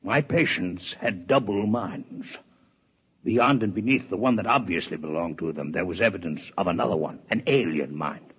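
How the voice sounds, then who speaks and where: An actor playing a proud, formal alien male scientist in a 1950s radio drama, with measured, precise diction. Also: low voice, husky. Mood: grave. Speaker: alien doctor in a radio play. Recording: dull vintage radio recording.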